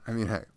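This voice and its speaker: A man's voice: darkish tone, lightly acted. A man playing a shy, dorky, caring best friend in an intimate roleplay ASMR, rambling and hesitant, with small stammers and apologies. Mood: caring, teasing. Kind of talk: intimate roleplay ASMR, mimicking casual one-sided chat.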